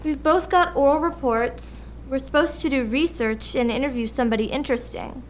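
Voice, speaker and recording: A woman's voice: high voice, brisk, slight background noise, lightly acted. A woman playing a grumbling, sassy American schoolchild in a radio play.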